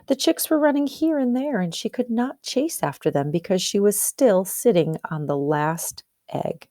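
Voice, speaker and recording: Animated woman teacher reading a story aloud. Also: medium pitch, normal speed, dry recording, studio quality. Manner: explanatory, questioning, checks understanding. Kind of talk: expressive storybook read-aloud with teaching questions.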